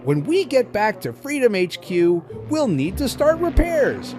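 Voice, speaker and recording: A woman voicing an earnest, gentle young boy fox in a cartoon comic dub, boyish and friendly. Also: on the high side, very animated, a little slow, over music.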